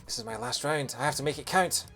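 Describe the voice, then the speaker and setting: A man's voice: a little high, fast talker. Scottish man chatting over game music. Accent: soft Scottish. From Bothwell, Lanarkshire, Scotland. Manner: jokey, punning, animated when reacting to the game. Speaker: video game streamer. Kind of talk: casual live gameplay commentary with chat.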